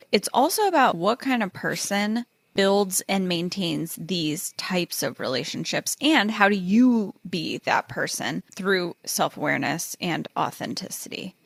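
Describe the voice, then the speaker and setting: A woman's voice: medium pitch, vocal fry, no room sound. A woman hosting a casual podcast chat, explaining research in a chatty, easygoing way. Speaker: podcast host.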